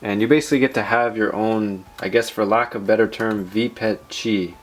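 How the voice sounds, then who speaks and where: A man hosting a tech video, chatting informally and rambling through a software demo with playful asides. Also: fairly low pitch, steady tone, normal speed, soft background music. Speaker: tech channel host. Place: indoors at a desk in a room.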